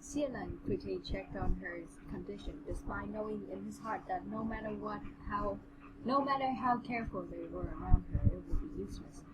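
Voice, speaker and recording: A woman co-host doing an amateur, slightly halting reading of a novel with casual commentary. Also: medium pitch. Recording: very noisy home recording.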